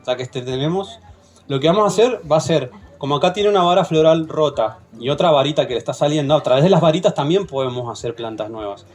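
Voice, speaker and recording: A man's voice: fairly high pitch, lively intonation, fast talker, darkish tone, soft background music. A man with an Argentine accent leading a hands-on plant propagation workshop, patient and often checking in with the group. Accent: Argentine Spanish. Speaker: workshop instructor.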